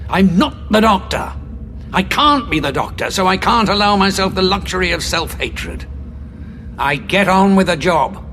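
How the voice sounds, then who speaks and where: An actor playing a grim, weary time-travelling war veteran who speaks in a cold, measured, self-assured way. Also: male voice, sits high, animated, sharp tone, a little hiss or hum. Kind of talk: audio drama acting.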